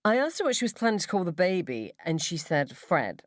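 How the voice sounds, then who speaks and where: A woman's voice: fairly low pitch, very animated, brisk, dry recording, studio quality. A woman playing a scornful, gossipy mother at the school gates in a radio story, with an emphatic, mocking drawl. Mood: gossipy disdain.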